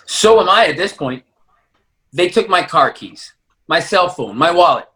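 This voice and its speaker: A man's voice: a little high, expressive, measured pace, pushed and bright. Man playing a wry young Californian man. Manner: wry, laughing things off. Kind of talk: play reading.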